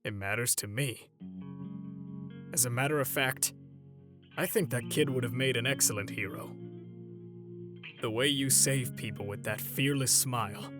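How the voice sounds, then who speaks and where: A man playing an older superhero mentor in a comic dub, paternal and gently stern, with a theatrical, measured delivery. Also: mid-range voice, music in the background, lightly acted. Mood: earnest, sorrowful. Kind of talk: dramatic comic dub voice acting.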